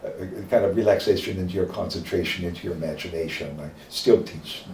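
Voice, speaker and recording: Older Midwestern man, very low voice, halting and reflective; roomy, distant mic with faint hiss. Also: a little mellow. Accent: Midwestern American. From American Midwest. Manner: reflective, halting with many fillers. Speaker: acting teacher interviewee. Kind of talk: oral history interview.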